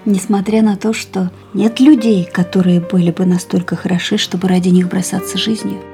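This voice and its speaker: Radio play: actress, dying young woman, wistful, mellow, breathy, soft music bed. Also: medium pitch, fairly even intonation, moderate pace, natural voice. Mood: quiet, resolute. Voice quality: breathy, often whispered. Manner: quiet, tired, resolute. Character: frail, gravely ill young woman, stubborn, wistful. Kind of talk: studio radio drama acting.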